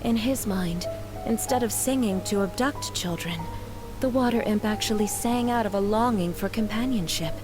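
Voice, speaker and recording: A woman playing a gracious, gentle, troubled mother in a video game, speaking politely and formally. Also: medium pitch, normal speed, close to an everyday voice. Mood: wistful, troubled. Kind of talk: video game character dialogue. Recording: game music behind the voice.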